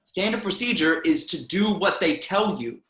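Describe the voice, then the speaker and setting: A man's voice: high-pitched, animated, moderate pace, a bit bright, echoey room, poor audio. A man reading the part of a weary, brusque spaceship captain in an amateur script table read, terse and offhand. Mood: resigned.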